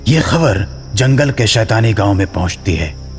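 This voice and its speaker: A man's voice: on the low side, lively intonation, moderate pace, in a large hall, soft background music. A man giving scripted narration for a horror story.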